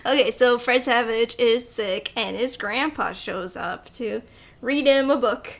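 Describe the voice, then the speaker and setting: A woman's voice: a little high, unhurried, gravelly, fairly bright tone. A young adult woman hosting a podcast and leading the discussion in a casual, conversational style. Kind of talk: casual chat.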